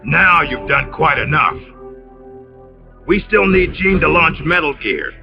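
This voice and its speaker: An actor playing a scheming, bitter military officer in a video game cutscene, talking in a condescending, theatrical, taunting way. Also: male voice, on the high side, big pitch swings, a little slow, gravelly, full character voice. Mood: mocking, then commanding. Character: smug, scheming traitorous male military officer, bitter and mocking. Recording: muffled game audio under loud music.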